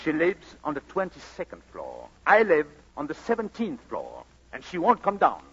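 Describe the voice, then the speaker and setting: An actor playing an exasperated, lovesick newlywed playwright husband, excitable and pleading, in 1940s radio comedy style. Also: male voice, fairly high pitch, normal speed, slightly rough, heavily acted. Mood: agitated. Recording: muffled old radio broadcast, music behind.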